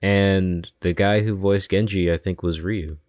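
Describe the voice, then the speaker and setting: Low-voiced man chatting on a podcast, dry. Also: steady tone, a little slow, darkish tone, dry recording. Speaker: podcast co-host. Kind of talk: casual chat about games and films.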